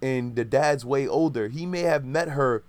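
A man's voice: medium pitch, moderate pace. A man in his thirties in casual podcast chat, rambling and opinionated, often correcting himself mid-sentence.

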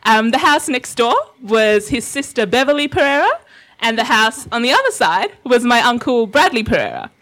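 A woman's voice: a little high, very animated, normal speed, fairly bright tone. A young woman from Sri Lanka telling a personal story to an audience in a reflective, conversational way, with frequent fillers and small laughs. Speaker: invited speaker.

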